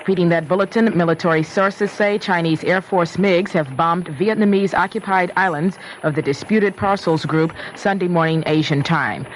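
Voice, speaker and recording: A man's voice: sits high, moderate pace, few pauses. A man reading a formal news bulletin on the radio as an announcer. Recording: slightly noisy vintage radio recording.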